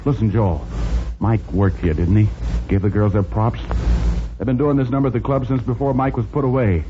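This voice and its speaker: An actor playing a cocky, wisecracking hard-boiled private detective in a 1940s radio drama, with a sardonic, slangy delivery. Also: male voice, on the low side, fairly even intonation, brisk, lightly acted. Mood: urgent persuading. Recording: dull vintage 1940s radio recording, music.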